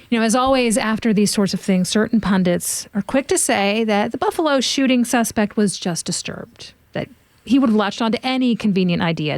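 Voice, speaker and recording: A woman hosting a public radio talk show, asking questions in a measured, composed way. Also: medium pitch, darkish tone, dry recording. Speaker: radio host.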